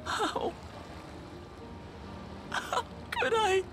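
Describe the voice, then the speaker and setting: A woman's voice: very high voice, big pitch swings, drawn-out words, a bit bright, exaggerated voice. An actress playing a devoted, distraught young woman in a game cutscene, speaking in an earnest, pleading way. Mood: anguished. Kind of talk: dramatic video game cutscene acting.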